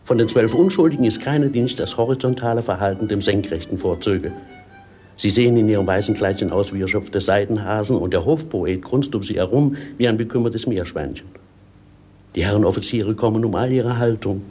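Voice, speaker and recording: A man acting an officious court master of ceremonies in an old radio play. The pitch is on the low side and the delivery dry and declamatory, with faint music behind. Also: brisk, warm tone, natural voice. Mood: mock lamenting.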